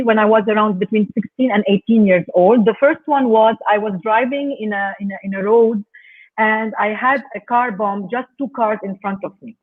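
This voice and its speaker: A woman who grew up in Lebanon talks in an earnest, measured way, choosing her words with care. Her voice comes through thin and narrow, like a phone line, as she speaks on a video call. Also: on the high side. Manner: earnest, measured, careful phrasing. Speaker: guest speaker. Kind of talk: reflective personal talk on a video call. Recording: tinny phone-like call audio.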